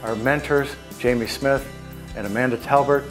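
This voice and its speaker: Man, scripted narrator, medium pitch, faint plucked guitar backing. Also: steady tone, moderate pace. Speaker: narrator reading outcome and credits. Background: soft plucked guitar music.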